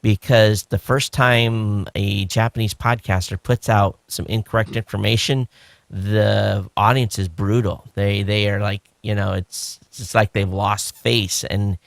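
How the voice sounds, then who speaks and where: A man co-hosting a podcast, talking in a relaxed, rambling way with many fillers. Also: on the low side, unhurried, creaky, dry recording. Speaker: podcast co-host. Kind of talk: casual chat.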